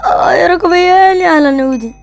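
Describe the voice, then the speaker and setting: High-pitched, fast-talking Egyptian child gamer. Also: creaky. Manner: playful, repeats phrases excitedly. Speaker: gaming video co-host. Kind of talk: excited casual chat while playing a video game.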